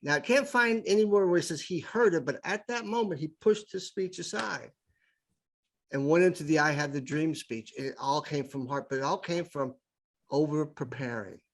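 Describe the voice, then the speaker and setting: A man's voice: fairly high pitch, normal speed. A middle-aged man, a podcast guest, telling stories with enthusiasm and often repeating words as he builds his point. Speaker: podcast guest, improv speaker. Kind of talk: casual conversational storytelling.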